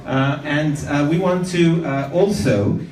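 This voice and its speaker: Man from Austria, event host, lively crowd-work, roomy echo, distant mic. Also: on the high side, slow. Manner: lively, rallying the audience with shouted questions. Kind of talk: upbeat crowd-work introduction. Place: large event venue indoors. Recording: echoey hall, distant mic, faint room noise.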